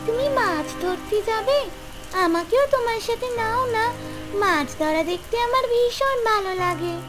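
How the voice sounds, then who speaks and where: A woman's voice: extremely high pitch, animated, moderate pace, over loud music. A woman voicing an eager little village girl in a cartoon, childlike and coaxing. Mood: eager pleading. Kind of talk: Bengali cartoon voice acting.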